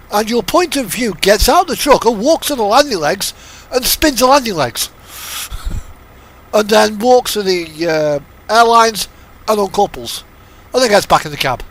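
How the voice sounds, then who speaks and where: A man's voice: sits high, a bit bright, low-quality recording. A man giving casual live commentary as he streams a game, chatting and thinking aloud.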